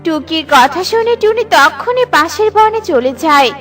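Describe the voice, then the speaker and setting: A woman narrates a children's cartoon as a storyteller. Her scripted delivery has a bright tone, and faint music plays under it. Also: very high voice, expressive, a little slow.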